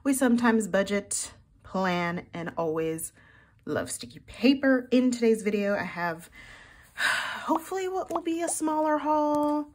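Chatty woman with a husky morning voice. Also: on the high side, lively intonation, unhurried. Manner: chatty, giggly, gushing. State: slightly froggy morning voice. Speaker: planner vlogger. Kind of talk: casual sticker haul chat.

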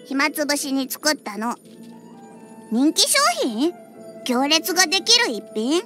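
A woman's voice: high voice, animated, measured pace, bright, forceful tone, faint music behind, exaggerated voice. A woman voicing a proud, huffy young cat-girl bartender in an anime-style game, with a small childlike voice and cat-like word endings. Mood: dismissive. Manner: huffy, tsundere, slipping into cat-like "nya" endings. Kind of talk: Japanese anime-style game character voice lines.

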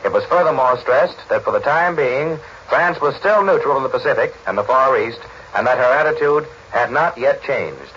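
A man's voice: medium pitch, lively intonation, normal speed, hardly any pauses, fairly bright tone, slight background noise. Formal man announcer, muffled 1940s radio. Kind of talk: 1940s radio news reading.

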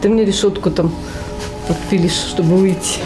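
A woman's voice: fairly low pitch, steady tone, normal speed, over music. A woman talking casually and plainly in an interview as a local resident.

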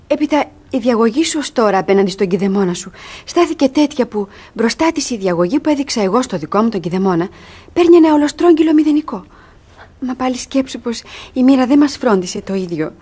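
An actress playing a bold young runaway ward in a classic radio comedy, pleading yet proud and theatrical. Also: female voice, a little high, expressive, warm tone, breathy edge. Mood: apologetic.

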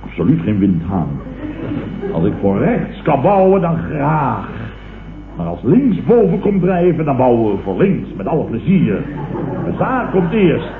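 A man's voice: medium pitch, sing-song, a little slow. A Dutch man playing a pompous, indignant middle-aged businessman who sputters and declaims in a radio comedy. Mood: pompous, self-righteous. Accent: Dutch, from the Netherlands. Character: blustering, pompous middle-aged businessman, opportunistically turned leftist. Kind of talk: 1960s-70s radio comedy acting. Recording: tinny, echoey, hissy old radio recording.

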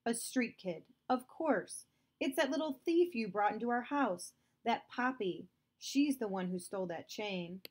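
A woman reading aloud, voicing a stern, domineering father who accuses a girl of theft, louder and higher than her narration. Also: animated, moderate pace, close to an everyday voice. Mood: accusing.

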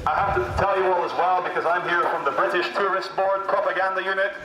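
A man's voice: high voice, steady tone, quick pace, roomy sound, recorded from a distance, crowd noise, poor audio. A man in his thirties doing sarcastic street satire through a megaphone outdoors in a busy city street. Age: 30s. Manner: mock-patriotic, sarcastic, declaiming. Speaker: street activist comedian. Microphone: megaphone, filmed by handheld camera.

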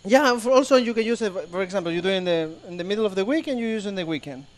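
Joking chef with a Chilean Spanish accent. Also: male voice, high-pitched, expressive, rapid-fire, a crowd in the background. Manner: joking, broken English. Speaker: ship's show chef. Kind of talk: live cooking demonstration. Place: ship's show kitchen before an audience.

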